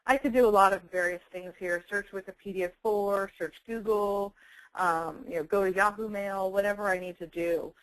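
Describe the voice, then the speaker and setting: Female webinar presenter, mid-pitched, clearly enunciated product demo delivery, dry close-mic recording. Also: steady tone, moderate pace, dry recording, low-quality recording. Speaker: software presenter. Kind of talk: webinar product demonstration with dictation. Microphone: close handheld speech mic.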